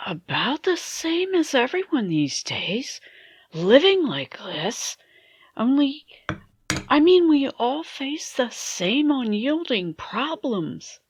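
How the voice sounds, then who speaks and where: A woman playing an anxious, wistful middle-aged mother in a radio play, speaking hesitantly with brooding pauses. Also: high voice, big pitch swings, slightly rough, no echo, heavily acted. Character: anxious, wistful mother isolated in a threatened world.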